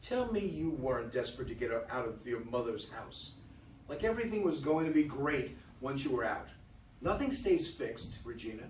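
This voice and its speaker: A man's voice: mid-range voice, sing-song, normal speed, natural voice. A man in a play reading, playing an earnest, disillusioned man explaining himself to the woman he left. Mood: bitter, agitated. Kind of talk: dramatic stage play reading. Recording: roomy, distant microphone, faint noise.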